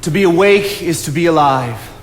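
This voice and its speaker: In a noisy, reverberant theatre, a male stage actor plays a solitary nature philosopher. His higher-than-average male voice is earnest and dreamy, musing in a declamatory way as if to himself. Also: expressive, normal speed, close to an everyday voice. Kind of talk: theatrical stage play acting.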